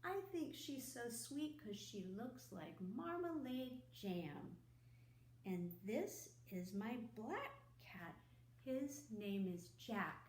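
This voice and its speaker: Woman, high-pitched, unhurried, expressive storytime delivery, children's librarian. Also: a little hiss or hum. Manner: simple, expressive speech aimed at small children. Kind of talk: children's storytime, reading and simple rhymes. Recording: roomy echo.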